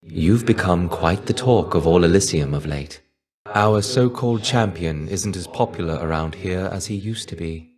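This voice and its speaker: A man as a low-voiced, wistful fallen Greek warrior. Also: a little mellow, natural voice. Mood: wry, welcoming. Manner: measured, understated, wistful, trailing off at phrase ends. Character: shade of a fallen Greek warrior, wry, melancholy, reserved. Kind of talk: video game character voice lines.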